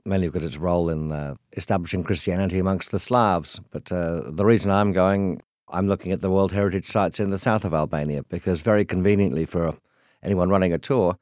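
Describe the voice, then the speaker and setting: Deep-voiced guy guest on radio, calm and measured, dry mic. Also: dry recording. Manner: measured, explanatory. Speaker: guest tour leader. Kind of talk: radio interview.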